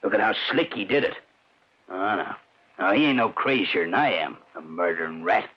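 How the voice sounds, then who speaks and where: Gossipy rustic storekeeper, radio drama: rough husky tone, lively, indignant, emphatic. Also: male voice, deep voice, normal speed. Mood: scornful. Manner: folksy, emphatic, stammering. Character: indignant, gossipy, folksy small-town storekeeper. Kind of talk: 1940s-50s radio drama acting. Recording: muffled old radio broadcast.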